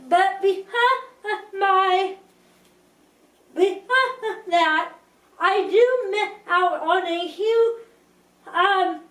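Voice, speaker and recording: A young woman in her late teens or twenties, speaking slowly and carefully to her viewers, often restarting her sentences. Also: very high voice, fairly bright tone, reverberant, distant mic. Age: young adult. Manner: slow, careful speech with restarts. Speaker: vlogger. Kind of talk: casual talk to camera.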